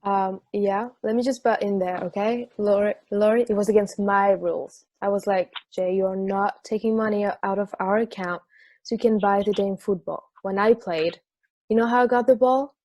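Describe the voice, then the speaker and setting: A woman's voice: medium pitch, steady tone, normal speed, warm tone, dry recording, lightly acted. A woman playing a sassy, bossy former football player in an amateur table read, blunt and emphatic. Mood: scolding.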